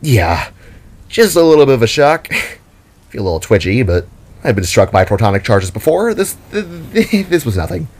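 A man's voice: medium pitch, sing-song, normal speed, darkish tone. A man voicing a jittery, upbeat male mechanic who stammers cheerfully in a narrated story. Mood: dazed, cheerful.

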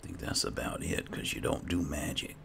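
A man's voice: a bass voice, brisk, vocal fry, background noise. A man giving casual roleplay commentary over a video game, musing and thinking aloud. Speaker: gaming video host.

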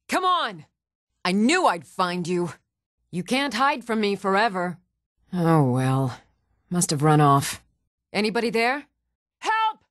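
A woman's voice: mid-range voice, no echo, studio quality. A woman playing a stern, challenging Nord townswoman ready for a fight in a fantasy video game. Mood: wary, searching, then alarmed. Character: fierce, even-tempered Nord townswoman warrior, commoner in a fantasy world. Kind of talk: fantasy video game combat and reaction lines.

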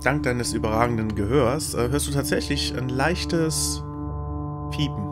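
A man's voice: medium pitch, a little slow. A man improvising narration as a game master, hesitating and searching for words. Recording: clear guitar music behind the voice. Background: plucked guitar music.

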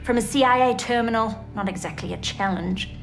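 An actress playing a sharp, sardonic scientist in a video game, blunt and probing. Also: female voice, a little high, a little fast, close to an everyday voice. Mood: wry, probing. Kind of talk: video game cutscene acting. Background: music.

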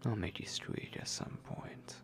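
A man playing a possessive, hungry vampire lord in an intimate ASMR roleplay, breathy and commanding, speaking slowly with menace. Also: lightly acted. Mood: menacing, commanding. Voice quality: breathy, whispery, gravelly. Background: music.

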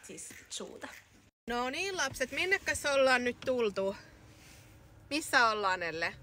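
A woman in her thirties from Finland, filming a family travel vlog on a phone held at arm's length and chattering brightly to the camera and her children. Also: fairly high pitch. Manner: bubbly, enthusiastic, talks to camera and her kids. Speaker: family vlogger. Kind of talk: casual travel vlog narration. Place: car, shops, hotel and outdoors. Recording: phone audio with steady background noise.

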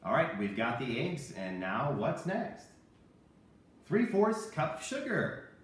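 A man in his thirties presenting a cooking lesson for children, playing the animated straight man. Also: medium pitch, takes it slowly. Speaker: host of a children's cooking show. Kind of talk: scripted instructional presenting with puppet banter. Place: home kitchen. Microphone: camera a few meters away. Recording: very echoey room, distant microphone.

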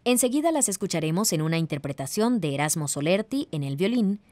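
A woman host giving scripted narration in a measured, formal manner, like a teacher. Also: fairly low pitch, big pitch swings, a little mellow, dry recording.

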